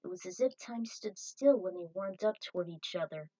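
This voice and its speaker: A woman's voice: on the low side, expressive, normal speed, dark tone, low-quality recording. A woman reading her own fan fiction aloud as a scripted story narration.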